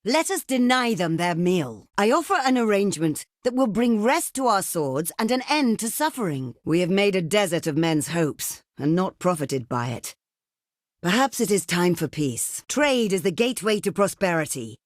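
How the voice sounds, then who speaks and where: A woman playing a proud, shrewd desert queen in a video game, speaking in a formal, regal, measured way. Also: lowish voice, very animated, no echo, clean studio sound. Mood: diplomatic, persuasive. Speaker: game character voice actor. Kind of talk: theatrical video game voice acting.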